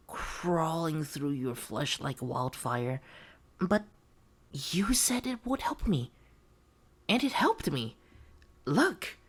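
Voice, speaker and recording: A woman's voice: extremely low pitch, breathy edge, exaggerated voice. A woman playing a gullible, eerily devoted test subject in a horror audio roleplay, pleading yet menacing with false sweetness.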